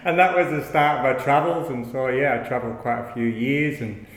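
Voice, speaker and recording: A man with a northern English accent, a guest telling his life story in a halting, rambling way with many restarts. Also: mid-range voice, steady tone. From north of England. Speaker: yoga studio owner, guest. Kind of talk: casual interview, rambling storytelling. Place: yoga studio. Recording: echoey studio room, long reverb.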